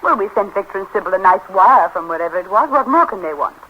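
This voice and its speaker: A woman's voice: medium pitch, animated, fast talker, natural voice. An actress playing a witty, capricious upper-class English woman in love, with an arch, clipped theatrical manner in 1930s radio drama style. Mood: light, arch. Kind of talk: 1930s radio drama acting, drawing-room comedy. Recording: noisy, muffled 1930s radio broadcast recording.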